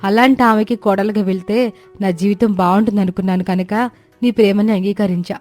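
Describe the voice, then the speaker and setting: A woman voicing a gentle, devoted young orphan woman in a cartoon story, earnest and pleading in manner. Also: mid-range voice, steady tone, fairly quick, faint music behind, close to an everyday voice. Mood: hurt, pleading.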